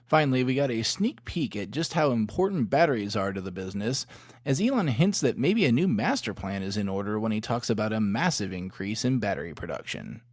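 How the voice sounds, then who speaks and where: Animated male podcast host, very dry sound. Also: on the low side, fairly quick, dry recording. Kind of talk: scripted podcast narration.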